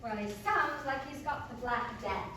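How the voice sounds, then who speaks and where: A skeptical, teasing woman with a high voice and a dry, exasperated manner in theatrical comic dialogue. She is heard at some distance in a very echoey room, with faint noise. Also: moderate pace, sharp tone. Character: skeptical, teasing female friend of a playwright. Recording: roomy echo, distant mic, faint noise.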